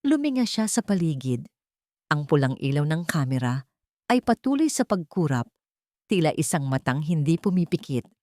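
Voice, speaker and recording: A woman's voice: sits low, very animated, normal speed, dark tone, no echo, clean studio sound. A woman narrating a dramatic scripted love story as an audiobook.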